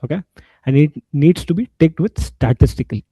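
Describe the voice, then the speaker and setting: A man giving an informal software walkthrough, explaining step by step in a halting way. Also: a little high, animated, breathy edge, dry recording. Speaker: SAP instructor.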